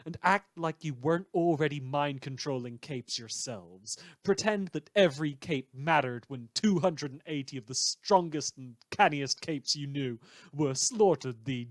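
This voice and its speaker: A man playing a sardonic, bitter radio news host in a fan audio drama, with theatrical, drawn-out emphatic phrasing. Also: medium pitch, lively intonation, dry recording, natural voice. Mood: bitter, disillusioned. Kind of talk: scripted satirical radio news monologue.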